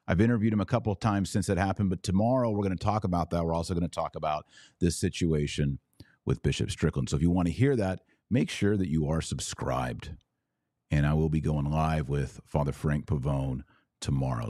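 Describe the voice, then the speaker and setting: A man's voice: low-pitched, a little mellow, no room sound, studio quality. A man hosting a religious commentary podcast, speaking emphatically with rhetorical questions and repeated phrases for stress. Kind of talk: impassioned religious commentary to camera.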